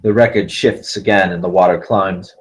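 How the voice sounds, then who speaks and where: A man's voice: sits low, steady tone, normal speed. A man narrating the stage directions of a film script at a table read, in scripted present-tense narration.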